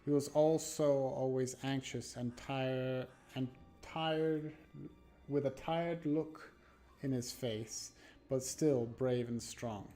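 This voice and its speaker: A man live-streaming a game, reading horror narration aloud dramatically and haltingly, stumbling and correcting himself. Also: medium pitch, steady tone, mellow. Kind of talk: live read-aloud of a horror visual novel with asides. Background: background music.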